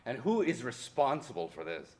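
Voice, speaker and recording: A man playing a haunted, defiant history professor in a theatrical monologue, speaking deliberately and with dramatic emphasis. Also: medium pitch, wildly swooping pitch, darkish tone. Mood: grieving, bitter. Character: haunted Mexican-born history professor orphaned by deportation, wounded, defiant. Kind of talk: theatrical monologue in a staged reading.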